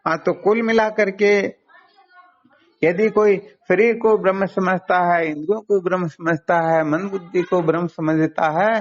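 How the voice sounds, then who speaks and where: A man giving a didactic spiritual lesson over a phone call, explaining step by step and posing rhetorical questions. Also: sits high. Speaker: Vedanta spiritual teacher. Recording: muffled lo-fi phone call recording.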